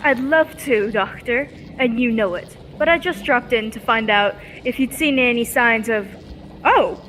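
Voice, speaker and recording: Woman acting as young heroine in old radio space show, high voice, earnest, expressive, caring. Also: a little slow, in a large hall, a little hiss or hum, low-quality recording. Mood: curious, breezy.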